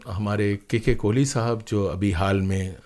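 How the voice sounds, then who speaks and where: Older male interviewee, fairly low voice, polite, halting delivery with emphatic repetition. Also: fairly even intonation, over a noisy crowd. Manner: courteous, halting, repeats words for emphasis. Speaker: interview guest, broadcaster and playwright. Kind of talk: conversational interview.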